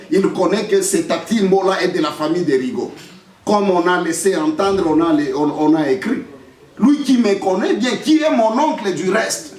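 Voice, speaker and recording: A man's voice: high-pitched, darkish tone. A young man with a Congolese French accent giving an emphatic, defiant public statement, repeating phrases for effect. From Democratic Republic of the Congo. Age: young adult. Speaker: lawyer and political candidate. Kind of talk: press statement answering accusations. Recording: echoey room, distant microphone.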